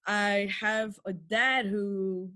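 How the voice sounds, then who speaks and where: A woman's voice: medium pitch, fairly even intonation, extremely slow, pushed and bright, faint music behind. A woman in her thirties from New York, a podcast guest telling her story in casual chat, candid and laughing often. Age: 30s.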